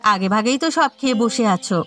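A woman's voice: on the high side, fast, a bit bright, faint music behind, close to an everyday voice. A woman voicing a scolding, aggrieved village housewife in a cartoon, nagging and complaining. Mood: bitter, nagging. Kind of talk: cartoon drama dialogue.

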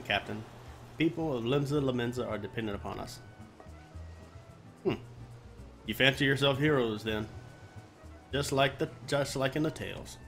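A man doing a live let's play, reading quest dialogue aloud haltingly and often restarting his phrases. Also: mid-range voice. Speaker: game streamer. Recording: music behind the voice. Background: game music.

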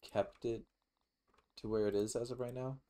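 A man's voice: medium pitch, steady tone, normal speed, mellow. A man giving casual, rambling let's play commentary with some swearing. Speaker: gaming YouTuber.

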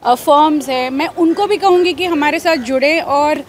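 A woman in her thirties speaks in an interview outdoors. Her voice is high, with an Indian accent, and she switches between two languages. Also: moderate pace, slight background noise. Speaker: NGO representative being interviewed. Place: outdoors at a street event.

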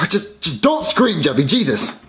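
A man's voice: on the high side, huge pitch swings, measured pace, crowd noise, full character voice. A man playing an exasperated tired dad. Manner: exasperated, scolding, sighing.

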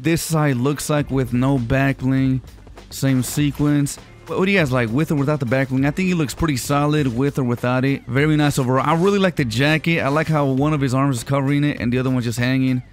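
A man's voice: medium pitch, a little fast, faint music behind. A young man hosting a gaming video, giving casual gameplay commentary in a chatty, slangy, informal way. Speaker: gaming video host and streamer.

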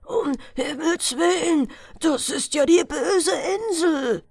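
A woman's voice: high voice, slow, darkish tone, no room sound, clean studio sound, pitched above the performer's own voice. A woman voicing a frightened old fisherman father who urgently warns his child in a storybook tale. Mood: alarmed, urgent. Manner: urgent, exclaiming.

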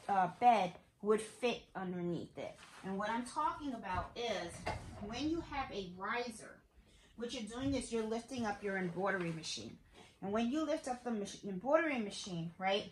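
A woman's voice: a little high, a little hiss or hum. Middle-aged woman, casual how-to host, talks her way through each step, recorded in a roomy room. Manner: chatty, thinking aloud while working. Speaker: sewing channel host. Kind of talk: casual embroidery tutorial. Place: home sewing room. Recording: roomy home sewing room.

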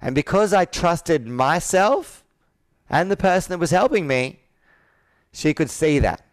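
A man in his thirties speaks in a smooth, resonant voice with a dry, close sound. He talks like a coach on a sales call, earnest and persuasive. He leans on personal stories and rhetorical questions to make his point. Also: on the high side, animated, a little slow, no echo. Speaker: business coach. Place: indoor room with whiteboard, video call.

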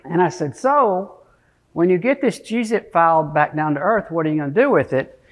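A man's voice: on the high side, animated, fairly quick. A middle-aged man giving an informal technical keynote in a conversational manner. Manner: conversational, frequent tag questions like "okay?" and "right?"; frequent throat clearing. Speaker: conference keynote speaker. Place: conference stage, speaking from a podium area to an audience.

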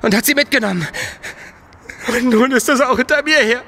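A man's voice: very high-pitched, brisk, sharp tone, a little hiss or hum, heavily acted. An actor playing a terrified, exhausted man in his twenties, pleading frantically in an audio drama. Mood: hysterical, pleading. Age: 20s.

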